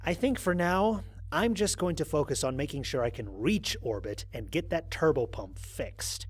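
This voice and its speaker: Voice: mid-range voice, normal speed, breathy edge. An actor playing a wry, exasperated astronaut stranded on an alien world, recording mission logs in an audio drama with dry sarcasm. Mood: weary, dry. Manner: sardonic, dry, expressive emphasis.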